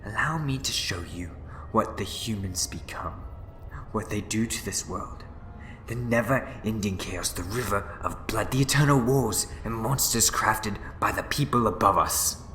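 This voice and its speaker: A man playing a scheming, bitter renegade Time Lord in a sci-fi audio drama, speaking in theatrical, condescending, deliberate speeches. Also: lowish voice, bright, forceful tone, echoey room, soft background music. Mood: ominous.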